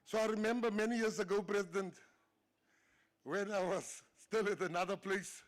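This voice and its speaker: High-voiced South African man telling jokes. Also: steady tone, normal speed. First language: Afrikaans. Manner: storytelling, laughing at his own jokes. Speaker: government minister giving a speech. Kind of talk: humorous after-dinner speech. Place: banquet hall.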